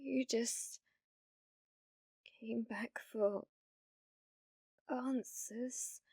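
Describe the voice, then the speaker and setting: Close and dry, this is a high British female voice, a woman acting as a clingy, delusional young streamer in an ASMR roleplay. She pleads slowly and haltingly, stumbling over words. Her delivery swings from breathy whispers to desperate outbursts. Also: steady tone, a little mellow, no room sound, full character voice. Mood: anxious, pleading. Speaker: ASMR voice actress.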